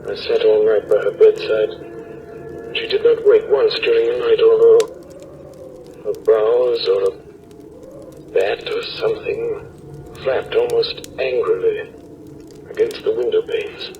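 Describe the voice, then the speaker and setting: A low-voiced, earnest doctor narrates a gothic diary in 1930s radio style. The delivery is dramatic and deliberate, heavy with dread. The old broadcast is muffled, with some background noise. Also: male voice, fairly even intonation. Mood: grave, uneasy. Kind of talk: 1930s radio horror acting.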